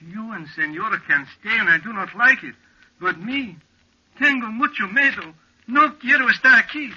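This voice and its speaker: An actor playing a frightened, stubborn desert helper in a 1940s radio drama, speaking emphatic broken English mixed with Spanish in a Mexican accent. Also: male voice, high-pitched, normal speed, sharp tone, breathy edge, full character voice. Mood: scared, insistent. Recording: muffled vintage radio recording.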